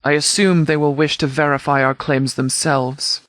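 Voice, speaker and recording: A man voicing a stern, commanding sheriff who leads a wasteland militia in a video game, speaking in a formal, measured, authoritative way. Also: on the high side, little pitch movement, a bit bright, no room sound, clean studio sound, natural voice. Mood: formal, resolute. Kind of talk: scripted video game dialogue.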